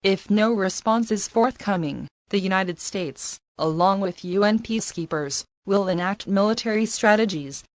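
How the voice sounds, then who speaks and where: A woman's voice: mid-range voice, normal speed, few pauses, no room sound, close to an everyday voice. A woman playing a stern defense secretary reading a formal official statement. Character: stern female defense secretary issuing a warning. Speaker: government official.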